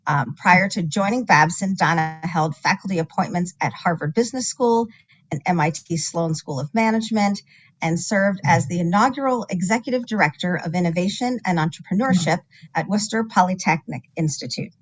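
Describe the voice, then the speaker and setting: A woman hosting an event, reading a speaker's bio in a measured way over an online call. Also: on the low side, muffled recording. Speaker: event host introducing a speaker. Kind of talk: formal introduction.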